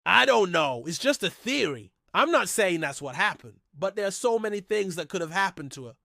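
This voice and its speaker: A man's voice: high-pitched, big pitch swings, brisk, vocal fry, pushed and bright, no echo, clean studio sound. A man hosting a mystery storytelling show in a conversational, informal and musing way, often posing rhetorical questions. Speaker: host of a mystery storytelling channel.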